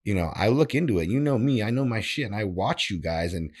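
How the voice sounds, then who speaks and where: A man's voice: fairly low pitch, animated, quick pace, darkish tone, slightly rough. A man hosting a podcast, talking in a casual, emphatic way with lots of swearing and repeated words. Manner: profane, emphatic, repeats himself. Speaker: podcast host. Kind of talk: casual interview chat.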